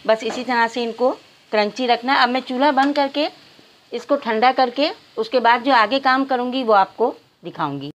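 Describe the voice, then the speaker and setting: A middle-aged woman with an Urdu accent calmly explaining a recipe step by step to viewers in a home kitchen. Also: a little high, moderate pace, slight background noise. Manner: calm, instructive, addresses viewers as nazreen. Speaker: cooking channel host. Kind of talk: step-by-step cooking instructions.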